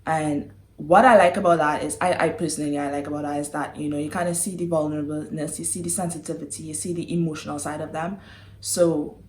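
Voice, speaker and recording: A woman's voice: low-pitched, steady tone, fast talker, darkish tone, a little hiss or hum. Chatty Trinidadian woman in a roomy space. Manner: chatty, giggly, breaks off with sighs and apologies. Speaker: vlogger. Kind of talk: casual chat to camera. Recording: roomy echo.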